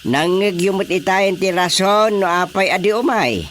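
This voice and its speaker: A man's voice: high voice, lively intonation, normal speed, a bit bright, a little hiss or hum. A Filipino man playing a bossy, scheming village council chairman in a comedic radio drama.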